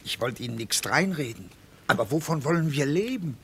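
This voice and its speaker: An actor playing a meddling, anxious male army chaplain in a German radio play, with a raspy, creaky voice and a fretful manner. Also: fairly high pitch, lively intonation, fairly quick, a bit bright, slight background noise.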